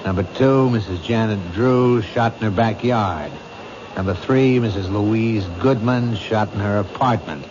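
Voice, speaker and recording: Actor as low-voiced, cynical police captain. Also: male voice, measured pace, natural voice. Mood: dismissive. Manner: brusque, cynical, matter-of-fact. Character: gruff, world-weary male police captain. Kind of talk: 1970s radio drama acting. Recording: muffled, noisy old radio broadcast.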